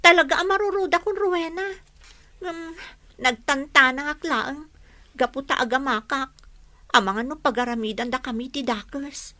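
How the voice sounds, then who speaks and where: A woman's voice: high-pitched, moderate pace, bright, forceful tone, dry recording. A woman playing a worried young village daughter with an Ilocano accent, confiding earnestly in a radio drama. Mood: worried, indignant.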